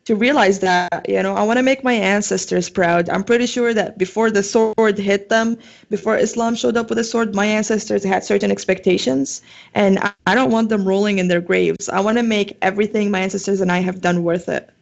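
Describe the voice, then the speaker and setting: A woman's voice: medium pitch, steady tone, fairly quick, creaky, dull audio. A young woman from Syria with a Syrian Arabic accent, talking casually as an interview guest and often filling with "like" and "um". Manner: chatty, lots of "like" and "um".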